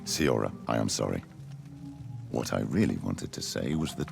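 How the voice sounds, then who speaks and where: A man's voice: very deep voice, animated, normal speed, vocal fry, music in the background, exaggerated voice. An actor playing a stern, solemn old clergyman in a video game. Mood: apologetic. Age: older. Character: gruff old clergyman, stern about pagan cults.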